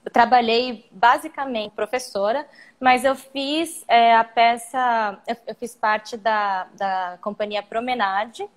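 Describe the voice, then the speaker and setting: A young Brazilian woman with a Brazilian Portuguese accent, chatting on a video call as an interview guest, meandering and restarting her sentences. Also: on the high side. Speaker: guest, actress and singer. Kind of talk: casual live-stream interview.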